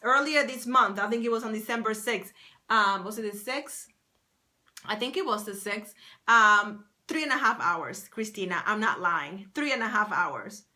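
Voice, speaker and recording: A woman's voice: medium pitch, normal speed, sharp tone. A woman writing coach on a live stream, talking enthusiastically to her viewers, greeting them by name and repeating her points. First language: English. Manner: enthusiastic, repetitive, reads out viewer names.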